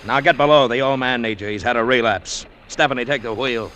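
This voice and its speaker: An actor playing a hot-tempered, bullying crook who barks impatiently in a tough manner, in a vintage radio drama. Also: male voice, mid-range voice, a little fast, fairly bright tone, lightly acted. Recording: noisy old radio drama recording.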